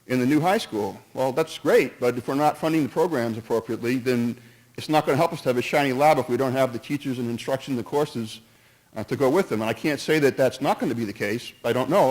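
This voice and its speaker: Halting man speaking at a meeting. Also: medium pitch, a little mellow, poor audio. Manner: halting, restarts and jumps between points; earnest, cautious, hedges with "in my opinion". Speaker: resident speaking at a town meeting. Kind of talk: public comment at a town meeting. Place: town meeting hall.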